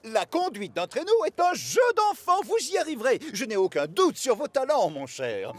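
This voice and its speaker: Le comte, un aristocrate agité. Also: male voice, very high voice, huge pitch swings, normal speed, exaggerated voice. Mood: fussy. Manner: theatrical, bossy. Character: fussy aristocratic count, excitable.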